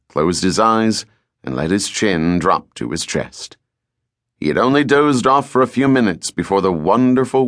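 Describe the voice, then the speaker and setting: A man with a fairly low voice reads a novel as a professional audiobook narrator. His delivery is scripted, measured and deliberate, with a dramatic storytelling feel. The sound is very dry, with no room around it. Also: a bit bright, dry recording.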